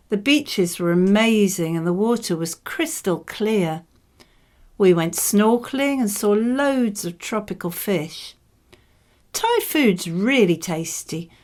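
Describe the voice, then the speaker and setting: A woman, a native English speaker, reading model speaking-exam answers in a conversational, measured and explanatory way. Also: mid-range voice.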